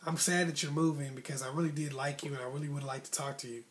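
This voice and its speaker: A man's voice: a little high, steady tone, fast, slight background noise. A man hosting an advice vlog, telling a personal story in a casual, colloquial, conversational way and voicing remembered dialogue. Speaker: online advice host telling personal stories.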